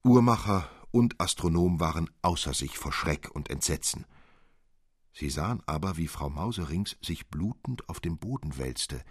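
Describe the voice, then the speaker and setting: A man narrating a literary fairy tale as a measured, expressive storyteller. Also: deep voice, breathy edge, no room sound, clean studio sound.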